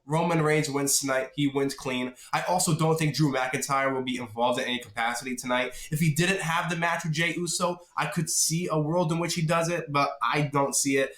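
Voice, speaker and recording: A man hosting a casual solo wrestling vlog, explaining his opinions informally, with a slightly raspy voice from a sore throat he is getting over. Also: mid-range voice, fairly bright tone. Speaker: host of a wrestling prediction video channel. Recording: echoey, roomy sound.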